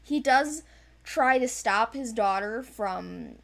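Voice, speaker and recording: A woman's voice: a little high, animated, takes it slowly, vocal fry, fairly bright tone. A woman chatting casually as a co-host, with a playful, informal manner, talking through fictional characters. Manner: giggly, playful, informal, acting out quotes. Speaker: co-host presenting an animated series project's characters. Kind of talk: casual chat.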